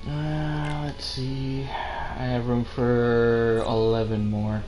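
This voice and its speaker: A man's voice: mid-range voice, level tone, extremely slow, a little mellow. A man casually chatting as he streams a video game, swearing freely. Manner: casually profane. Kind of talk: casual gaming chat with co-host. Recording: roomy echo, music behind. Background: video game music.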